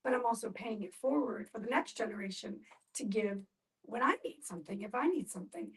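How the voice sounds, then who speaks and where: A woman's voice: a little high, animated, fairly quick. A woman speaking earnestly as a participant in a group discussion. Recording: distant mic in a room.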